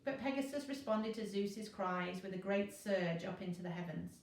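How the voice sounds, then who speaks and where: A woman's voice: medium pitch, normal speed, a little mellow. A woman narrating a children's novel expressively, as a teacher reading to a class. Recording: very echoey room, distant mic.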